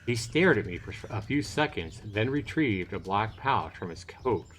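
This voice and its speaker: A man's voice: fairly low pitch, expressive, moderate pace, fairly bright tone. A middle-aged man narrating a visual novel on a casual livestream, mixing read text with commentary. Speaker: game streamer. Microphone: voice chat microphone.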